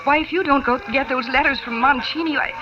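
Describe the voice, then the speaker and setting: A woman's voice: high-pitched, fast, close to an everyday voice. A dull old radio recording with music behind a woman acting as a young nightclub singer. She is fretful and clinging, pleading in the heightened manner of mid-century radio melodrama. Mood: worried pleading.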